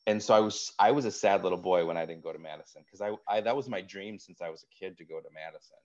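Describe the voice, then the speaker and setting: A man in his forties from Wisconsin, a guest telling stories in casual conversation, with a deep voice and a chatty manner full of "like" and "um". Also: fast, dull audio. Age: 40s. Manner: animated storyteller, frequent "like" and "um".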